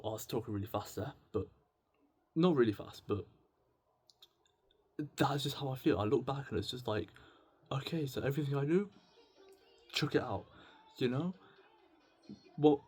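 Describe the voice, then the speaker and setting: A man's voice: mid-range voice, normal speed, long pauses, a little mellow, slightly rough, faint music behind. A man in his late teens talking casually and confessionally to camera, rambling and stammering as he thinks aloud. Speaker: video blogger.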